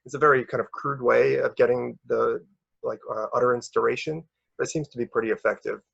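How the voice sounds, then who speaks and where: Gravelly, husky young man presenting research. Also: medium pitch, steady tone, brisk, fairly bright tone, muffled recording. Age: young adult. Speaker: researcher presenting a conference paper. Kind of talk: explanatory academic presentation.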